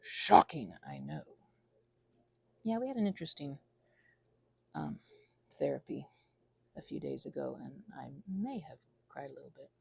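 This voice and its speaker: A woman's voice: deep voice, lively intonation, normal speed, vocal fry, long pauses, warm tone, husky. A woman giving a casual, rambling monologue, whimsical and self-deprecating as her thoughts wander. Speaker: audio creator rambling to listeners.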